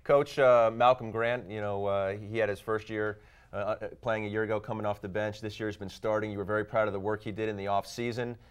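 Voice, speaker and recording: A man co-hosts a college sports show from a studio, his voice smooth and resonant. He moves through his questions quickly in a fairly even, unvaried tone. The lapel mic keeps it clean. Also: on the low side. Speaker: co-host. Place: TV studio set.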